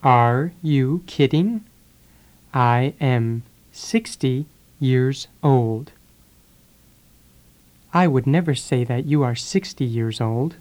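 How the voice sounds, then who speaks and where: A speaker drilling English phrases for learners, saying each sentence and then repeating it one word at a time with pauses. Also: mid-range voice, expressive, darkish tone. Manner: deliberate, pausing after each word. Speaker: English teacher.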